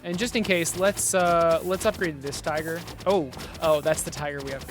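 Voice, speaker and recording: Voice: medium pitch, steady tone, normal speed, music in the background. A game developer giving casual gameplay commentary, explaining things step by step and correcting themselves along the way. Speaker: game developer demoing own game.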